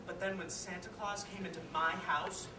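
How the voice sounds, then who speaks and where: A woman playing a bitter, guilt-ridden, washed-up reindeer parent in a confessional dramatic stage monologue that swings between hushed and loud. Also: lowish voice, fairly even intonation, normal speed, lightly acted. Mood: defiant, anguished. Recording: echoey, distant, noisy live room recording.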